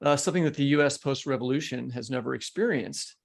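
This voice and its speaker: A man's voice: medium pitch, fast talker, creaky. A man with an American accent hosting a podcast, asking questions in a conversational way. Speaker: podcast host, interviewer. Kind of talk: conversational interviewing.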